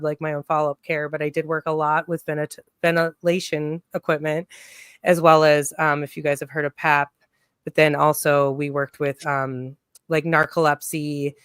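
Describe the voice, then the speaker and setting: A man's voice: fairly high pitch, a bit bright, clear, resonant tone, dry recording, studio quality. A man speaking as a guest on a casual video-call panel, rambling and upbeat with plenty of fillers. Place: home room on video call.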